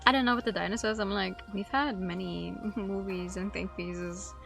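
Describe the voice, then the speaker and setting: A woman's voice: medium pitch, steady tone, moderate pace, vocal fry, fairly bright tone. A woman streaming a game, reading its text aloud and adding casual, playful, musing commentary. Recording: light music behind.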